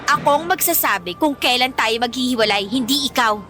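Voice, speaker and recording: Bright, shrill Filipina mean girl. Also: fairly quick, faint music behind. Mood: confrontational, threatening. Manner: haughty, taunting, confrontational. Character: spiteful, rich, pretty teenage ex-girlfriend, a school bully. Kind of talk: Filipino radio drama acting.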